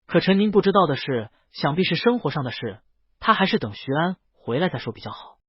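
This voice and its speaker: Male audiobook narrator, lively intonation, slightly husky, dull dry recording. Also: a little high, fairly quick, no room sound. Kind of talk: scripted story narration with read dialogue.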